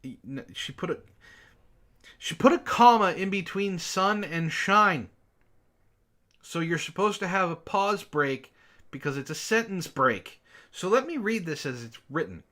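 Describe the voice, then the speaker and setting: A man's voice: high voice, lively intonation, a little slow, sharp tone. A man reading amateur fiction aloud expressively, breaking in with sarcastic, exasperated comments as a book club host.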